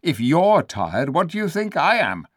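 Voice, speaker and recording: Man voicing a scheming male ape, high and wheedling, swooping pitch, gravelly edge, storybook. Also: big pitch swings, measured pace, no echo, studio quality. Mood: whining. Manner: whiny, persuasive, self-pitying. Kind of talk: storybook read-aloud.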